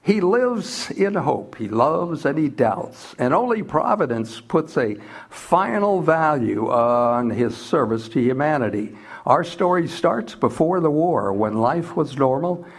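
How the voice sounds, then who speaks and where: Man, 1940s radio announcer, husky, fairly high, formal, stately. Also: sing-song, measured pace. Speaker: announcer and narrator.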